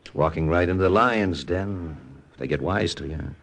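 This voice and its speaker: Radio drama soldier sidekick: deep, husky American, doubtful and animated. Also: male voice, normal speed. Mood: worried. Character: incredulous, worried American soldier buddy. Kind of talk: 1950s radio drama acting.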